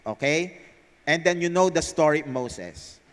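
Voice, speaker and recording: A high-pitched male voice with a Filipino accent, heard through long hall reverb. He presents to an audience at a live event, speaking as a host on stage. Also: lively intonation, unhurried. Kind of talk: scripted narration read aloud to a live audience. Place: large indoor theater hall. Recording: echoey hall over PA sound system.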